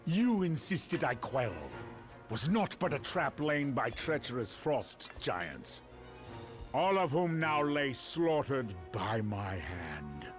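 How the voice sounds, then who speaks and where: An aged, majestic Norse king of gods for a fantasy game. He speaks slowly and grandly, with sweeping swings of pitch and stern command, over prominent music. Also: very animated. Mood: stern anger. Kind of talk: theatrical game cutscene acting.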